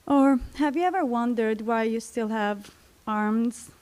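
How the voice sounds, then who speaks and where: A woman from Syria, telling a personal story to an audience in a slow, reflective way. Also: fairly high pitch, a little mellow. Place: library event stage.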